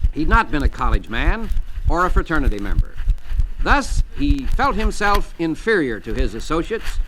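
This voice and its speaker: Male narrator, formal and preacherly, animated higher voice, inspirational reading on slightly noisy archival audio. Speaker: author and inspirational speaker reading his text. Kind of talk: scripted self-help narration with religious message. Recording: noisy archival spoken-word audio with clicks.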